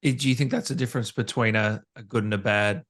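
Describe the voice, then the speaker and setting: A man's voice: fairly low pitch, fairly even intonation, quick pace, a bit bright. A man hosting a gaming interview, chatting casually and asking eager follow-up questions. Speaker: interviewer, gaming podcast host.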